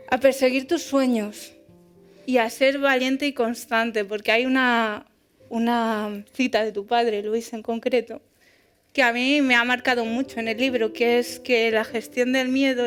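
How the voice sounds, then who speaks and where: Earnest woman, fairly high voice. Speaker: panel guest. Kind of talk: personal testimony at a live event. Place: event hall before an audience.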